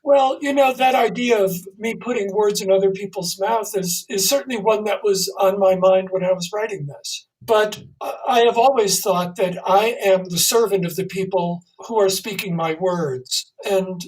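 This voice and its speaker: A man's voice: high voice, normal speed, hardly any pauses, far from the mic, low-quality recording. A man speaking casually as an interview guest, self-deprecating and appreciative of others.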